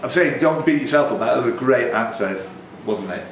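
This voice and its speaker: A man's voice: mid-range voice, expressive, normal speed. Male quiz reader, informal banter, teasing and jokey, echoey tavern room, slight background noise. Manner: chatty, teasing, jokey asides. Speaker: quiz host, question reader. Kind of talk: reading quiz questions aloud with informal banter. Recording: roomy, faintly noisy live venue recording.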